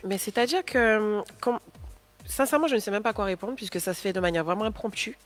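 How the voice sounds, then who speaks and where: A woman, speaking as a guest on a studio talk show. She answers haltingly, restarting her sentences and filling the gaps with hesitations, in a voice of middling pitch. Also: faint music behind. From Guadeloupe. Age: 20s. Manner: hesitant, many fillers and restarts. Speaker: guest singer. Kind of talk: TV interview answers. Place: pop-up studio.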